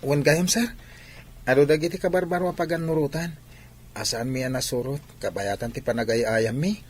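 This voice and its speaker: A man's voice: medium pitch, steady tone, moderate pace, natural voice. A man playing an earnest, modest young village athlete in an Ilocano radio drama. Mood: polite, eager. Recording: noisy background ambience.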